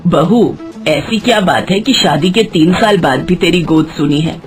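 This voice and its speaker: A woman's voice: on the low side, a little slow, close to an everyday voice. A woman voicing a nagging, suspicious middle-aged village mother-in-law with a scolding, pointed manner in an acted story. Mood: suspicious, stern. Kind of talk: Hindi moral story acting. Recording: music behind the voice.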